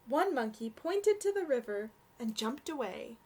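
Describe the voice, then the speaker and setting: A young adult woman reading a storybook aloud for children in an expressive, gentle way. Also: high-pitched, measured pace.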